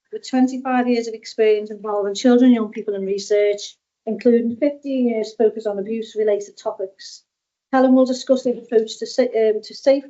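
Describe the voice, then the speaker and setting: A woman chairing a conference session, giving announcements and introducing a speaker in a relaxed, lightly joking way. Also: fairly high pitch, highs cut off. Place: conference room.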